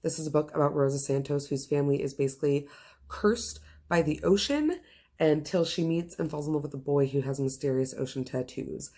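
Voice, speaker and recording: A woman's voice: sits low, a little fast, mellow. A woman hosting a casual book recommendation vlog, speaking in an enthusiastic, gushing and emphatic way. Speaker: book reviewer host.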